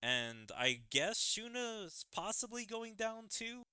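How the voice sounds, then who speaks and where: A man's voice: high voice, big pitch swings, slow, pushed and bright, smooth, dry recording, studio quality. A man giving casual game commentary as a streamer, speculating and musing aloud.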